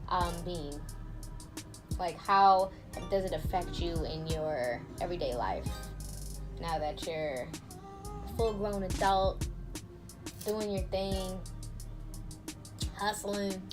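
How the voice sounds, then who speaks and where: Host: woman, lower-pitched, relaxed conversational questioning, thinks out loud, clear music underneath. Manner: informal, probing, thinking aloud. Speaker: interviewer and host. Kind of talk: casual conversational interview. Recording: prominent music under speech.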